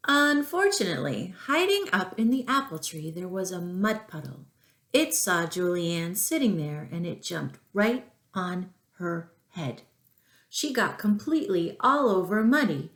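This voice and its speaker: A woman reading a storybook aloud to young children, expressive and deliberate, with asides to the listeners. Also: lowish voice. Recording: echoey room.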